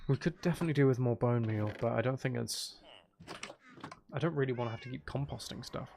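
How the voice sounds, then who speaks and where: A man's voice: medium pitch, fast, vocal fry, darkish tone, a little hiss or hum. A man giving casual, unscripted gameplay commentary as a video host, thinking aloud and trailing off mid-sentence.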